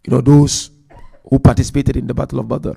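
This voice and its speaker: Gravelly-voiced man preaching at a majlis. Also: medium pitch, flat delivery. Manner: counts points aloud, chants Quran verses quickly; swings between loud emphasis and quiet asides. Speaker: Muharram majlis speaker. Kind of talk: religious lecture.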